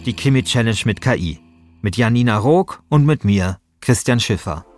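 A man's voice: medium pitch, lively intonation, moderate pace, darkish tone. A man narrating a scripted podcast as its host. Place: radio studio.

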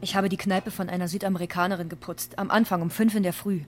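A woman's voice: fairly low pitch, fairly even intonation, fast, over music, close to an everyday voice. An actress playing an exploited migrant cleaning woman, telling her story in clipped, repetitive phrases. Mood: bitter recounting. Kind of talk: dramatic first-person testimony monologue.

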